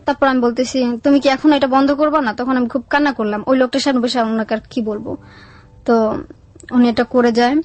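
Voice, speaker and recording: A woman's voice: high voice, fairly even intonation, a little fast, darkish tone, dull audio. A young adult woman with a Bangladeshi Bengali accent telling her life story in a colloquial, plain-spoken way as an interview guest.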